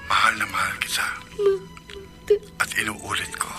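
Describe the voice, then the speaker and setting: An actor playing a remorseful man who begs forgiveness in a hushed, half-whispered voice for a radio drama. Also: on the low side, flat delivery, normal speed, faint music behind.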